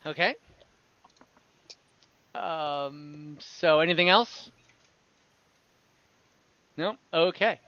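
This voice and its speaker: A game master with a bright, forward voice runs a tabletop fantasy fight at an unhurried pace. The rolls and numbers are tallied aloud as the action is narrated. The sound is somewhat dull and lo-fi, as from an online call. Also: mid-range voice, no room sound. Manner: thinking aloud while tallying numbers. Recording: dull lo-fi mic with faint noise and clicks.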